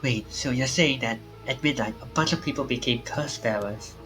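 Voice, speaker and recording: A man's voice: mid-range voice, moderate pace, natural voice. A man playing a suspicious, confused game protagonist with blunt, questioning speech. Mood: confused, defensive. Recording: music under the voice.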